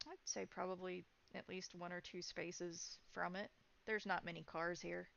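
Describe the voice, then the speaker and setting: A woman's voice: on the low side, flat delivery, moderate pace, gravelly, fairly bright tone, muffled recording. A woman running a tabletop game, improvising narration and explaining scenes in descriptive detail. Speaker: game master.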